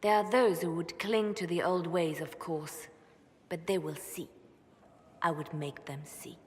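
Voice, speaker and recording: A woman playing an ambitious, composed churchwoman in a video game cutscene, measured and persuasive, with fervent conviction. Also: lowish voice, fairly even intonation, slight background noise, natural voice. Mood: steely resolve. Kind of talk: dramatic game cutscene acting. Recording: long hall reverb.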